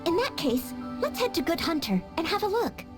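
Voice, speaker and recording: An actress voicing an earnest, polite young maid training to be a knight in a game cutscene, with small hesitant stammers. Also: female voice, very high voice, big pitch swings, normal speed, music in the background. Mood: eager. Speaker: game character.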